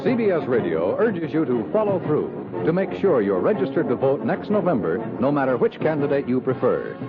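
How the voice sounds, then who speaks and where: A man's voice: mid-range voice, huge pitch swings, fairly quick. An American man reading scripted credits and notices as a 1950s radio announcer. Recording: tinny 1950s radio recording with music. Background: music.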